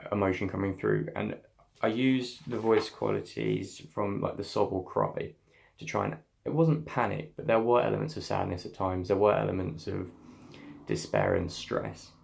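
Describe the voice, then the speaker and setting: A young man with a slightly deep, heavily gravelly voice talks through his scene work. His delivery is thoughtful and halting, full of fillers and restarts as he searches for words. He sounds like a drama student reflecting on his practice. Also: a little mellow. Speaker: acting student.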